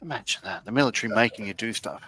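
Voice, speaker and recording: A man co-hosting a relaxed livestream, making dry teasing quips, a little farther from the mic. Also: on the low side, rapid-fire. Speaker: co-host. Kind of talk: casual livestream chat.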